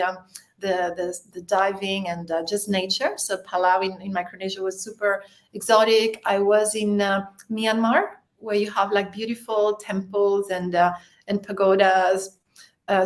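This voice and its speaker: A woman born in Spain, Spanish her first language, chatting as a podcast guest, laughing often and restarting her sentences. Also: medium pitch. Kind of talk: casual interview chat.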